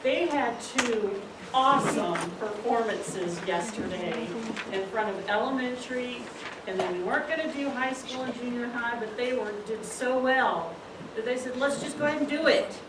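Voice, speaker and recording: A woman's voice: on the high side, normal speed, distant mic. A woman, a school play director, giving an encouraging, informal introduction before a show. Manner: encouraging, enthusiastic. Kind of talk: informal pre-show speech to an audience. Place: large room, distant mic. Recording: roomy, noisy recording from afar.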